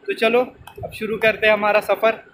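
A man's voice: high-pitched, completely flat delivery, normal speed. A young man vlogging casually outdoors, asking questions and repeating back what he is told. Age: young adult. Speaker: vlogger, host. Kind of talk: casual on-location vlog commentary and questions. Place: outdoors in an open stone quarry. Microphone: handheld phone at arm's length. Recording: loud outdoor machinery and vehicle noise.

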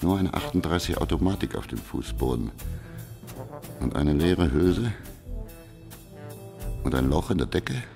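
Deep, gravelly hardboiled private detective. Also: male voice, expressive, a little slow, pauses often, darkish tone, soft background music, full character voice. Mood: dry, weary. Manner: laconic, terse, sardonic asides. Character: hardboiled private detective, dry, weary, wry. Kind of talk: German radio crime drama acting.

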